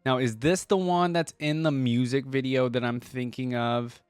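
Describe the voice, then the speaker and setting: A man's voice: medium pitch, fairly even intonation, moderate pace, clean tone, dry recording, studio quality. A man giving casual, off-the-cuff reaction commentary, thinking aloud in a rambling way with lots of "like" and "you know". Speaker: reaction video host.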